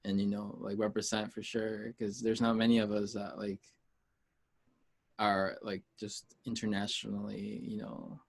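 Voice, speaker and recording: Man, from the United States, fairly low pitch, casual chat, halting, rambling, informal interview guest. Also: monotone, gravelly, breathy edge. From United States, Peruvian heritage. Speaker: interview guest, music artist.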